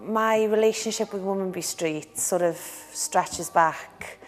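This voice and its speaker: A woman from Cardiff being interviewed, speaking in a relaxed, reflective way. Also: mid-range voice, slow, big-room sound, faint music behind. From Cardiff, Wales. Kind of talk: interview answer.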